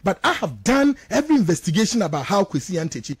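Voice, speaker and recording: A man's voice: sits high, sing-song, fast, breathy edge, no room sound, clean studio sound. A man with a Ghanaian accent giving impassioned, accusatory radio commentary, repeating phrases for stress and switching between two languages. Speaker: political commentator on a radio show.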